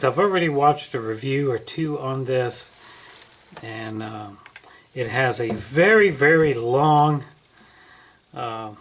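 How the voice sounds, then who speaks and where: A man narrating a casual hands-on unboxing at home, thinking aloud in a folksy, unhurried way. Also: mid-range voice, vocal fry. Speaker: amateur product reviewer. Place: indoors at home.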